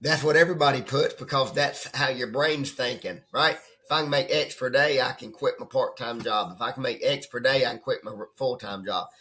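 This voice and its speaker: A hoarse man who has nearly lost his voice, talking as a trading coach in a rambling, conversational way and often checking in with "right?". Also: medium pitch, brisk, few pauses, bright, forceful tone. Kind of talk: casual off-the-cuff coaching talk. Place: at his computer desk.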